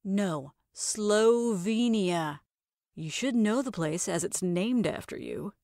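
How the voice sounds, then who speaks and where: A woman's voice: medium pitch, expressive, slow pace, a little mellow, no echo, clean studio sound. A woman performs a haughty mother-in-law in her sixties. She speaks in a cool, clipped, condescending way, as a character in a dryly recorded audio story. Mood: testing, smug.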